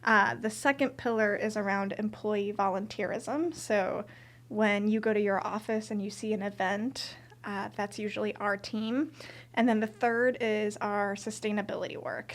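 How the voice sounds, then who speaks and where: A woman from Colombia, a manager explaining her work in an organized, clear way during an interview. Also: medium pitch, fairly even intonation, moderate pace. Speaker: corporate social responsibility manager. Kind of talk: interview answers.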